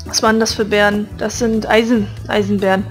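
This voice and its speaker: A woman's voice: mid-range voice, level tone, brisk, faint music behind. A woman hosting a casual let's play, chatting playfully and giggling with her co-player. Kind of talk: casual gaming commentary.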